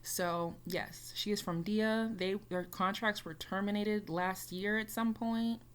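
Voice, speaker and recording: A woman's voice: mid-range voice, steady tone, measured pace, clean tone. A young woman chatting casually as she reacts to a music video, rambling with lots of fillers. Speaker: reaction YouTuber.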